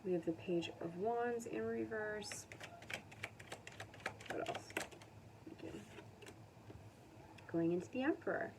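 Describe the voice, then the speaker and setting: An American woman giving a casual, unscripted tarot reading to viewers, conversational and musing, pausing to think aloud. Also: medium pitch. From United States. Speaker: tarot reader. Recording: distant mic with faint background noise.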